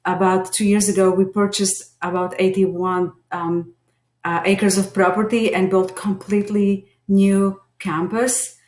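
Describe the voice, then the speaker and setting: A woman's voice: mid-range voice, level tone, unhurried, a little mellow. Slovak-accented woman, echoey room. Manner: earnest, many hesitations. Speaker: teacher lead and behavior analyst. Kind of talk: class introduction video.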